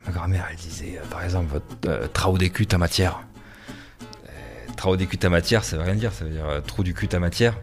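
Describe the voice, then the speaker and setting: A man's voice: low-pitched, a little fast, soft background music. A man recalling old sayings, hesitating often. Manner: hesitant, many fillers.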